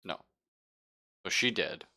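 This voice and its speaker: A man's voice: slow pace, pushed and bright. A man casually chatting and narrating as game master of a live-streamed tabletop roleplaying game.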